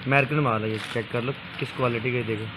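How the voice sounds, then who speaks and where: Young man pitching clothing bundles. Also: steady tone, fast talker, very noisy. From Pakistan. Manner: repetitive salesman patter, urging viewers to look and call. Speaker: vlogger selling used clothing bundles. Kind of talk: casual sales vlog. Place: small indoor shop full of clothing bundles.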